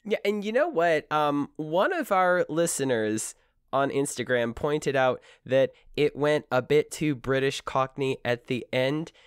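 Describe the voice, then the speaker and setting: A man's voice: on the high side, expressive, measured pace, clear, resonant tone, dry recording, clean studio sound. A man co-hosting a podcast, explaining things in a rambling, casual way with frequent restarts. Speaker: podcast co-host. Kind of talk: casual chat.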